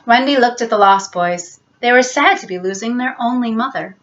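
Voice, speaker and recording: A woman storyteller giving an expressive, scripted narration of a children's bedtime story. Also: fairly high pitch, moderate pace, muffled recording.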